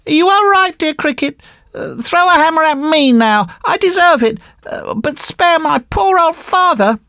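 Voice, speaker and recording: A woman's voice: sits high, lively intonation, a little slow, a bit bright, dry recording, clean studio sound, pitched above the performer's own voice, heavily acted. A woman voicing an earnest, polite wooden marionette boy in a storybook, speaking in formal, emphatic exclamations. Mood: contrite.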